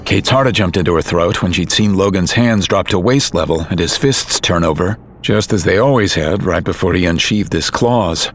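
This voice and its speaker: A man giving steady, measured scripted narration for an audio drama. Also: low-pitched, slight background noise. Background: music.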